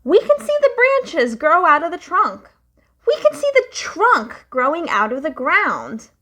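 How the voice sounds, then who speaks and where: Young woman reading picture books aloud for kids, sing-song and unhurried. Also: extremely high pitch. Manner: slow, lively, sing-song storytelling. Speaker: children's storytime reader.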